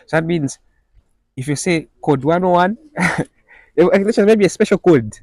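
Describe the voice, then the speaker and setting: Male interviewer, Zambian accent, slightly high voice, curious casual questioner, some noise in the recording. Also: lively intonation, moderate pace, dark tone. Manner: curious, echoes the answers back. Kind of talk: casual chat.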